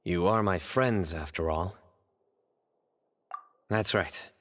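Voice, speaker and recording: An actor playing a serious, composed young male investigator in a video game, measured and analytical. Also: low voice, a bit bright. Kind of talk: video game character acting. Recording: reverberant game stream audio, faint noise.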